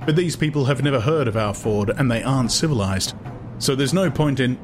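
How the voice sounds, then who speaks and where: A man's voice: mid-range voice, animated, a little fast, music in the background, natural voice. A man voicing a coolly unruffled, ironic man with a philosophical, lecturing manner in an audiobook. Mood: careless. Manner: philosophical, feigned carelessness, didactic.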